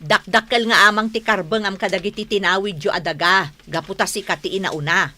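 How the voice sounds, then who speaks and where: A woman's voice: medium pitch, steady tone, brisk, sharp tone. An actress with an Ilocano accent playing a scheming wife who urges her husband on in a radio drama. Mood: conniving. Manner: persuasive, conniving.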